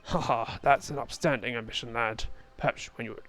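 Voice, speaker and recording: A man's voice: mid-range voice, fairly even intonation, fairly quick, fairly bright tone, pitched below the performer's own voice, close to an everyday voice. A man voicing a proud, dutiful and kindly knight captain in a video game dub. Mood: kindly, approving.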